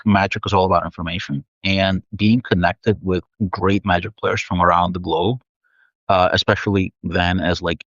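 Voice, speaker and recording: A man's voice: deep voice, a bit bright, dry recording. Russian-born guy chatting thoughtfully, lots of uhs, muffled dry call audio. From Soviet Union. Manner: thoughtful, many fillers and restarts. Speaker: guest. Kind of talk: casual interview chat. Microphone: video call.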